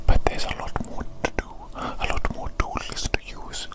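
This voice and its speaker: A woman voicing an evil doctor in a whispered ASMR roleplay, soothingly tending a creature with deliberate, repeated phrases. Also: lightly acted. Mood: soothing, attentive. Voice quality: breathy, unvoiced whisper. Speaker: ASMR performer.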